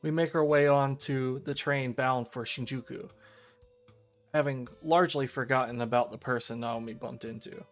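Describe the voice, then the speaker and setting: A man's voice: medium pitch, steady tone, moderate pace, soft background music. Man streaming a visual novel read-aloud. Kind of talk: reading visual novel narration and dialogue aloud on a livestream.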